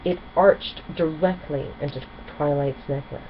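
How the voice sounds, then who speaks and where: A woman's voice: deep voice, fairly even intonation, measured pace. A woman storyteller narrating a children's book expressively. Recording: dull recording with faint noise.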